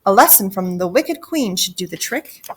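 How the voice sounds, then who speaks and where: A woman's voice: medium pitch, animated, moderate pace, slight background noise, close to an everyday voice. A woman voicing a scornful, bossy ugly mother in a children's storybook, haughty and scolding. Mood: grudging.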